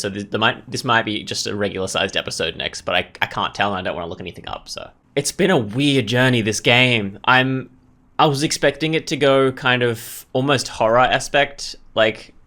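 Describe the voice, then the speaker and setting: A man with a somewhat low voice hosts a let's play. He talks casually and rambles as he works through his thoughts aloud. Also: a bit bright. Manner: rambling, thinking aloud, mildly profane. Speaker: gaming video host.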